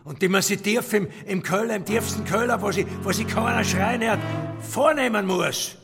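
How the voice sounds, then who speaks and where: An actor playing a sinister, fawning castle caretaker in a radio drama, with an Austrian accent and an erratic, muttering, coarse manner. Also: male voice, high-pitched, moderate pace. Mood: menacing. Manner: muttering, erratic, gushing then threatening. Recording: long cavernous reverb, music underneath.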